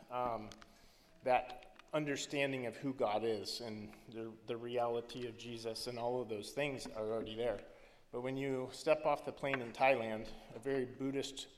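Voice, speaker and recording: Man, 30s, missionary addressing a congregation; earnest, halting delivery, mid-pitched, long hall reverb. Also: a little hiss or hum. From Philippines. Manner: earnest, halting with frequent ums and restarts. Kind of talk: church missions talk.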